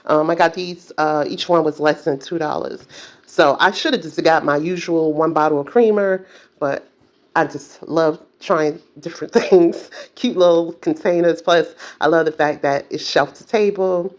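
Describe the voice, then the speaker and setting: A woman's voice: low-pitched, normal speed. An older woman vlogging casually at home, chatty, with many fillers and self-corrections. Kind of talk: casual chat to camera.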